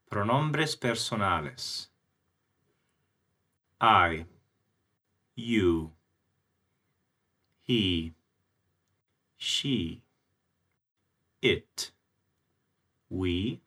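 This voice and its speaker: A man teaching a language lesson, explaining grammar and reading example sentences in a very slow, deliberate, clearly enunciated way. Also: lowish voice, sharp tone. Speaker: English teacher.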